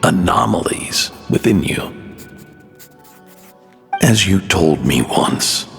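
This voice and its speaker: A man playing a gentle, wise scholar in a video game, speaking in a measured, solemn, reflective way with a low, creaky voice. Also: heavily acted. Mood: tender, solemn. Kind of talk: scripted dramatic game monologue. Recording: long hall reverb over music.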